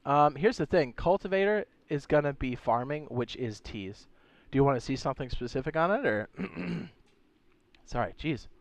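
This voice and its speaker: A man streaming a game, chatting casually and reading viewer comments, hoarse with a sore throat and losing his voice, often clearing his throat. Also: fairly high pitch, animated, normal speed, dry recording. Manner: laid-back, slangy, frequent throat clearing.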